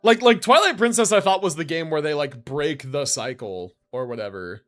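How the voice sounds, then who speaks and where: High-pitched man, animated livestream commentary. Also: moderate pace, soft background music. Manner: casual, mild swearing, thinking aloud. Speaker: live game streamer. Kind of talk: casual livestream commentary reviewing game builds.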